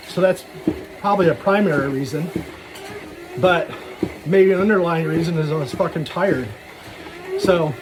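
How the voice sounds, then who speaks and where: A man's voice: high-pitched, noisy background. A man giving a casual, rambling solo vlog monologue in frank, slangy, sweary talk. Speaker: solo vlogger.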